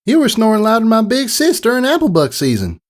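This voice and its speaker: Man doing a very high, chirpy schoolgirl filly. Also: huge pitch swings, fast. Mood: teasing. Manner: casual, teasing, chirpy. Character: young schoolgirl filly pony, chummy and excitable.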